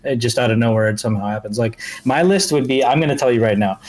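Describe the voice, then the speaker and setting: Casual male talk show voice, mid-pitched, loose and slangy, with music in the background. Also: fast talker, a little mellow. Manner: slangy, informal. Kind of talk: casual chat.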